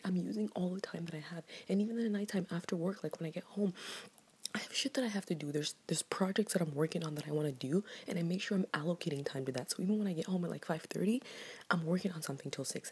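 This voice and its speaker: A woman's voice: lowish voice, darkish tone. A woman whispering a blunt, profane, fired-up rant in a casual vlog. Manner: whispered, breathy delivery.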